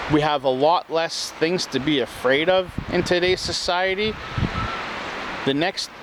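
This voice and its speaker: A man giving a slow, earnest self-help talk that circles back over the same points. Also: a little high, background noise.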